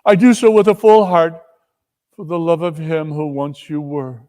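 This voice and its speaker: A man voicing a sorrowful young fiancée in a dramatic storybook reading, earnest, halting and solemn. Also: a little high, warm tone, close to an everyday voice. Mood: sad, resolute. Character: sorrowful, resolute young woman, jilted fiancée.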